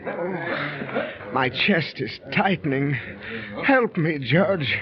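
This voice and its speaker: An actor playing a defiant British lord and archaeologist in a theatrical, declaiming 1930s radio style. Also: male voice, on the high side, animated, extremely slow, a crowd in the background. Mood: weak, dying. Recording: muffled 1930s radio recording.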